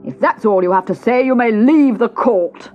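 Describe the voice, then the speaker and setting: A woman's voice: fairly high pitch, animated, pitched above the performer's own voice, close to an everyday voice. Woman putting on a pompous, blustering storybook King. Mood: stern, pompous. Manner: pompous, slow, loud commands. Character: stern, blustering, pompous male King presiding in court. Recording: dull cassette transfer with music.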